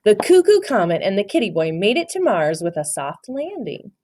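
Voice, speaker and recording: Female storyteller for children's read-aloud: mid-range pitch, very lively intonation. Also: normal speed, noisy background. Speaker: picture book reader.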